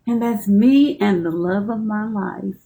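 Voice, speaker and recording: A woman in her seventies from Texas, answering interview questions at home in a soft-spoken way, with brief replies. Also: medium pitch, measured pace, vocal fry. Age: 70s. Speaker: interview guest. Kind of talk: casual conversation at home. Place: living room of her home.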